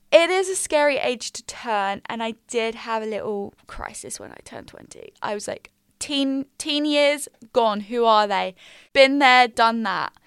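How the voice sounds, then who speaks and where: A woman of twenty, hosting a casual solo podcast in a small quiet room, candid and upbeat with slangy asides. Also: on the high side, smooth, dry recording, studio quality. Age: 20s. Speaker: podcast host. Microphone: studio mic.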